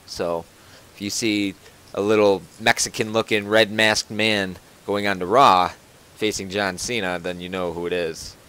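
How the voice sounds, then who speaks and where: A man's voice: lowish voice, little pitch movement, a little slow, sharp tone, smooth. A man hosting a casual podcast from home over a voice call, rambling with lots of fillers and restarts. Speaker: podcast host.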